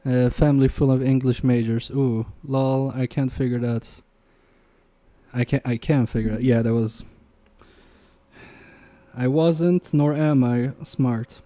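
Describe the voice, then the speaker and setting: Chatty man, mellow voice, Polish native. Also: mid-range voice, steady tone, takes it slowly, long pauses. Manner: chatty, reads chat aloud, trails off muttering while working. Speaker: livestream repair host. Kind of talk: casual live-stream chat while repairing.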